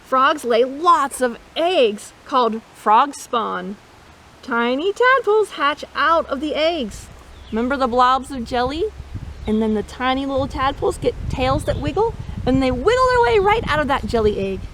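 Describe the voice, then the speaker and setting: A woman reading a nature storytime for children as host, playful and expressive, often asking her listeners questions. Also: high voice, slight background noise. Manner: expressive, playful, asks listeners questions, imitates animal sounds. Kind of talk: read-aloud children's nature storytime with asides.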